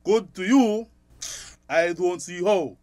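A stern, scolding Belizean Creole father in middle age. His voice sits high for a man and is recorded close and dry, in radio drama style. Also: takes it slowly, a little mellow, no echo. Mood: angry, hurt.

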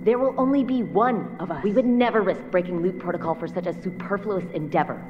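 A woman's voice: lowish voice, a little fast, lightly acted. A woman playing a haughty, impatient scientist in a video game, speaking in a clipped, scornful, precise manner. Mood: self-assured. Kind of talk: video game dialogue acting. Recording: slightly dull game audio under music.

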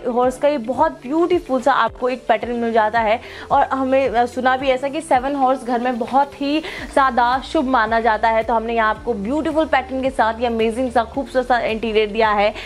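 A young woman from India presenting a real estate walkthrough, praising every feature with enthusiastic, salesy gushing. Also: sits high. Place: large furnished indoor apartment.

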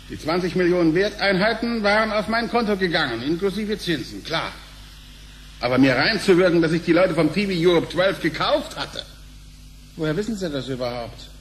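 An actor playing a brash, crude and jovial company director in a radio play, blustering in blunt, slangy exclamations with an excitable delivery. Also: male voice, on the high side, normal speed, a little hiss or hum. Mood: puzzled. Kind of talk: German radio play acting.

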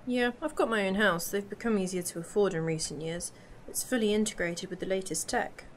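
Some noise sits behind a woman acting a young adventurer from the future in an amateur audio drama. She is cheeky, chatty and full of questions, with a medium pitch. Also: a little fast, natural voice. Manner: inquisitive, chatty. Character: curious, cheeky young female companion from the future. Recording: amateur recording with clear background noise.

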